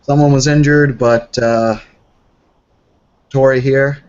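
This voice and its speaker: A man's voice: mid-range voice, fairly even intonation, takes it slowly, crowd noise. A man chatting casually as a player in a tabletop role-playing session. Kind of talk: casual game-session chat. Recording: dull, noisy voice chat with clicks.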